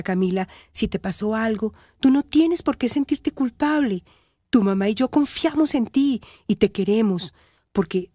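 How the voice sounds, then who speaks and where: A woman's voice: a little high, mellow, no room sound, clean studio sound, close to an everyday voice. A woman with a Colombian accent playing a caring, resolute confidante in a radio soap, earnest and reassuring. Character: caring, resolute adult woman comforting a family in crisis. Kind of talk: radio soap opera acting.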